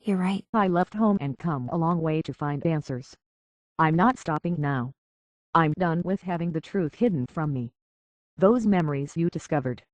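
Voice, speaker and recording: A woman's voice: low-pitched, a little mellow, dry recording. An earnest young woman in a video game, speaks with hesitant sincerity and open vulnerability. She sounds conflicted, as if searching for who she is. The sound is close and very dry. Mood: earnest, hopeful, then firm, defensive.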